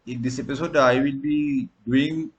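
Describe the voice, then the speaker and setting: A man's voice: mid-range voice, fairly even intonation, normal speed, dull audio. A man from Sri Lanka, a native Sinhala speaker, giving a recorded tutorial lecture with frequent fillers and restarts. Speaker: instructor.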